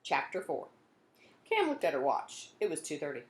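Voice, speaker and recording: A woman reading a children's book aloud in an expressive storytelling style. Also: deep voice, moderate pace, reverberant, noisy background. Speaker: storybook reader.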